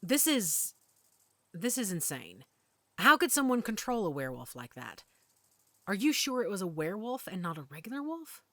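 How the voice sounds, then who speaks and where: A woman playing a calm, protective werewolf mother who leads her pack in an audio drama, speaking with authority. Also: medium pitch, normal speed, dry recording. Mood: baffled. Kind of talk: audio roleplay acting.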